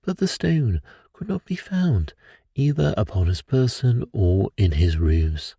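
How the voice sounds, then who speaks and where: A man narrating a classic detective tale as a bedtime story in a calm, measured, soothing manner. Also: lowish voice, vocal fry, breathy edge, no room sound, studio quality.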